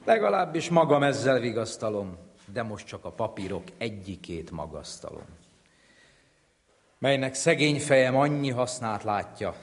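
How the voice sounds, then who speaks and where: A man's voice: mid-range voice, sing-song. A man reciting comic rhyming verse in a wry, theatrical way, recorded with long hall reverb.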